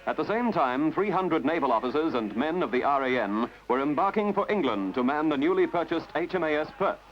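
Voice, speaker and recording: Lively, high-pitched male newsreel narrator. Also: brisk, a little hiss or hum. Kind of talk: scripted documentary newsreel narration. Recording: dull lo-fi archival film soundtrack with music. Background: prominent music.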